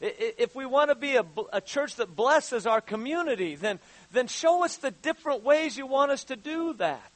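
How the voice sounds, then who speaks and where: A pastor in his fifties preaches with a high voice, doubling back over his words before rising into urgent calls to his listeners. The sound is dry and close, with almost no room around it. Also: lively intonation, a bit bright, dry recording. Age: 50s. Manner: halting, repeats words, rises to fervent exhortation. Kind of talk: sermon.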